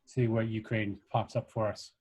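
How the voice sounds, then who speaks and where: A man's voice: on the low side, little pitch movement, moderate pace, a little mellow, husky. Man giving a casual coding tutorial. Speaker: workshop instructor.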